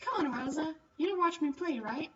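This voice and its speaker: A woman's voice: high-pitched, animated, normal speed, far from the mic, highs cut off, pitched above the performer's own voice. A woman voicing a friendly, chatty young noble girl in a visual novel. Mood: cheery, eager. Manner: bubbly, eager, friendly. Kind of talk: visual novel character reading.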